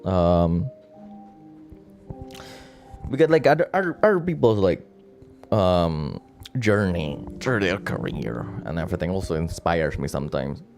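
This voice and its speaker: A man's voice: sits low, big pitch swings, measured pace, pauses often, darkish tone, soft background music. A man chatting casually and rambling on a late-night stream, with many restarts and laughs. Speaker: virtual streamer.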